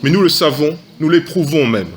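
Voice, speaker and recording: Male opposition councillor, fairly high-pitched, formal written oratory at a council meeting, light background noise. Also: lively intonation, normal speed. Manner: formal, literary phrasing. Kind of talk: prepared formal political speech. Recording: faint background noise, clicks.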